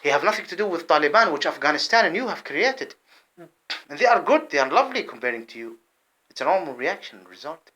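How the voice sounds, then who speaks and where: Man, fiery monologue to camera, repeats himself, jumps from loud bursts to low mutters, bright and tense tone. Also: very animated, fast, low-quality recording. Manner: heated, repetitive, swings between loud outbursts and low muttering.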